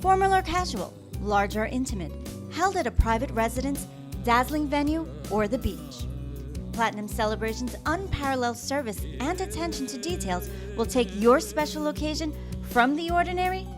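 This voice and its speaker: A woman delivers scripted promotional narration as an ad announcer. Her voice sits a little high, and music plays clearly behind it. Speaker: advertisement announcer. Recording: prominent music bed under voice.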